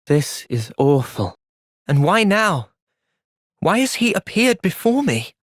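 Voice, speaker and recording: An earnest young soldier hero from a video game, with an English accent and a close, dry sound. He is gentle and thoughtful, speaking with measured, soft seriousness. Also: sits high, sing-song, no echo, heavily acted. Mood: pensive, troubled. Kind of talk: video game cutscene voice acting.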